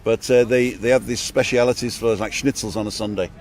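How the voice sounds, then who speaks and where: An upbeat man from England holds a phone out on a seaside street and chats with strangers for his livestream. His voice sits at an average pitch for a man. He is friendly, repeats what people tell him, and keeps the talk moving. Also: steady tone, fairly quick, a little mellow. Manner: chatty and upbeat, greets strangers and repeats their answers. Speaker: live-streaming vlogger stopping passers-by. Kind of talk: casual street interviews on a livestream. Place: outdoors on a seafront street at dusk.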